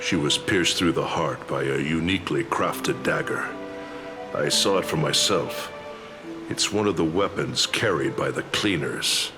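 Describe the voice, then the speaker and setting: A dutiful fantasy-game lord with an extremely low voice. His delivery is formal, grave and measured, over clear background music. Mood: grave, regretful. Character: grave, dutiful male lord commanding city guards. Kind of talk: video game voice acting.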